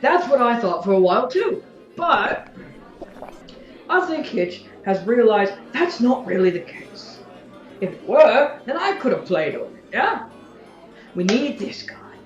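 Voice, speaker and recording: A woman's voice: on the high side, very animated, unhurried, faint music behind. A woman playing an opinionated, restless agitator who talks conversationally and tags remarks with questions, in an audio drama. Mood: persuasive. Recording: echoey room, dull lo-fi sound.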